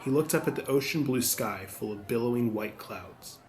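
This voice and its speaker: A man narrates an audiobook from a script. His voice has a middling pitch, and faint ambient noise runs behind it. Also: normal speed.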